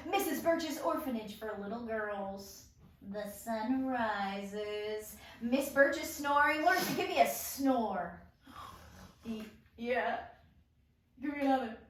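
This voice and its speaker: A woman's voice: high-pitched, takes it slowly. A woman informally narrating stage directions as the leader of a script table read. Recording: echoey room, distant mic, faint music.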